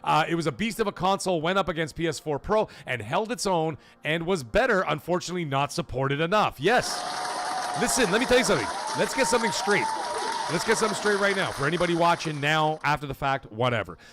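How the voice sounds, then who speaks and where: A man hosting a gaming livestream, reading super chats aloud and giving emphatic, profane opinions, swinging loud for donation shout-outs. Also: on the high side, expressive, quick pace, a crowd in the background, background noise. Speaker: livestream host.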